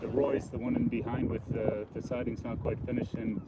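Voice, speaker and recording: A man's voice: mid-range voice, little pitch movement. Man guiding a walk over street noise. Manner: halting, explanatory. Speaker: livestream host and informal walking guide. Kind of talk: casual unscripted commentary while walking. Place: outdoors on a city waterfront. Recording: noisy outdoor city audio with traffic.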